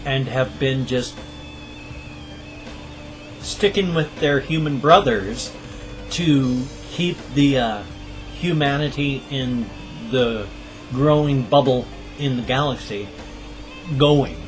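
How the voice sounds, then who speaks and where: A man's voice: medium pitch, takes it slowly, long pauses, low-quality recording. A middle-aged man hosting his own channel, chatting casually to camera in a rambling, self-deprecating way. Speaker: channel host. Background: guitar music.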